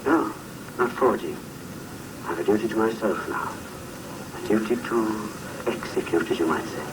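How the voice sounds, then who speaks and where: Low, menacing ex-convict played by a man. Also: natural voice. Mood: brooding menace. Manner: deliberate, darkly joking. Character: vengeful ex-convict forger, menacing and theatrical. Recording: distant, noisy old film soundtrack.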